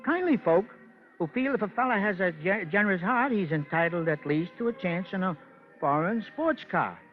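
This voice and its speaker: An actor playing a nervous, wheedling small-time hustler in an old radio crime drama, stammering and putting on genteel airs. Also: male voice, high voice, lively intonation, normal speed. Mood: evasive, pleading. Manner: stammering, hesitant, mock-genteel. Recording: muffled old radio recording, music behind.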